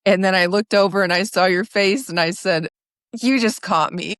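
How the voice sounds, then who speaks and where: A woman's voice: mid-range voice, normal speed, a bit bright, dry recording, clean studio sound. A woman hosting a conversational interview, speaking in an appreciative, reflective way. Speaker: podcast host.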